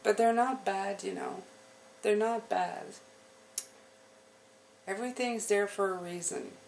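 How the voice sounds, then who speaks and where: A woman giving an unscripted, reassuring tarot reading to her viewers, often pausing to check in with the listener. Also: mid-range voice, vocal fry, a little mellow, slight background noise. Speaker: tarot reader hosting a channel.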